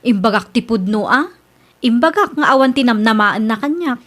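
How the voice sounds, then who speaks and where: Woman acting a high-voiced, apologetic young mother. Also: animated, normal speed, fairly bright tone. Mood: confiding. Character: soft-hearted but firm young single mother. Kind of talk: Ilocano radio drama acting.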